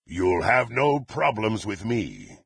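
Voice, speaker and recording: An actor playing a brutal, threatening warrior in a fantasy video game, with a raspy voice and imperious, archaic diction. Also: male voice, fairly low pitch, huge pitch swings, slow pace, no echo, heavily acted. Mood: cold, threatening. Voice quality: gravelly, raspy. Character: brutal, menacing half-orc warrior serving a demonic patron.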